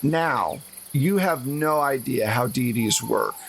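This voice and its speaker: A man's voice: mid-range voice, takes it slowly, gravelly, a bit bright. Guy running a tabletop rpg, talking casually, some noise behind him. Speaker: game master. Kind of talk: improvised tabletop game narration and chat.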